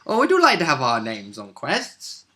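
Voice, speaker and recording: A man's voice: mid-range voice, wildly swooping pitch, moderate pace, sharp tone. A man in casual chat as a roleplay player, agreeable and easily amused.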